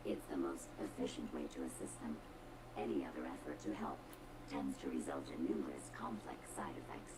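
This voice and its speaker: A woman's voice: rumbling low, brisk, background noise. An actress voicing a condescending female robot in a video game, with precise, formal, disdainful diction and a robotic filter. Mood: coldly clinical. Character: haughty, condescending female robot with cold contempt for humans. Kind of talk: video game character dialogue.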